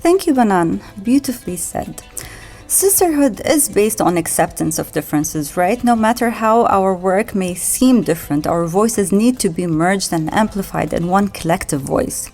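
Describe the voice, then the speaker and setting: A woman's voice: medium pitch, expressive, normal speed, a little mellow. Woman podcast host, music behind her. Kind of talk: podcast interview.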